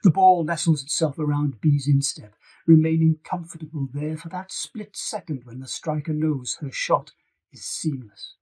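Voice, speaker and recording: A man's voice: fairly high pitch, moderate pace, darkish tone, husky, low-quality recording. A man reading scripted fiction as an author narrating an audiobook. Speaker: author reading own novel.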